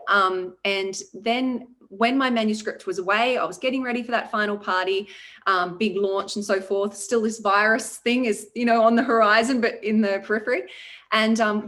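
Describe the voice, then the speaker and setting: A woman, a debut novelist talking through her path to publication in a relaxed, reflective way with many ums. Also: mid-range voice. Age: 40s. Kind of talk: conversational interview.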